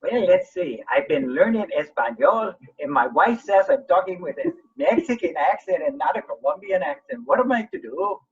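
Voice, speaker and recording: A man with a husky voice talking in a wry, self-mocking way on a video call. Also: high-pitched, expressive, normal speed, few pauses. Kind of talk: casual meeting chat. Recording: muffled lo-fi video call audio.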